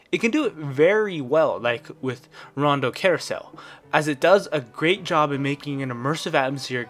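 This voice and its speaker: A man's voice: a little high, a bit bright. Man narrating a scripted review. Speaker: video reviewer.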